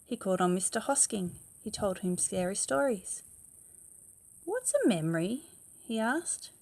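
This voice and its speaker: A woman's voice: medium pitch, moderate pace, gravelly, a little hiss or hum. A woman reading a picture book aloud as a bedtime story, stressing words expressively and putting questions to the young listener.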